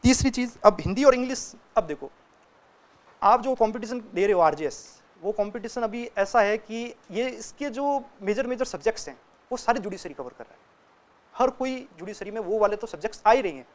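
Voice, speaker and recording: A man's voice: sits high, animated, brisk. A young man, a student, giving casual, direct advice to other students and often checking in with them as he talks. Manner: chatty and direct, keeps checking in with listeners. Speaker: student sharing exam tips.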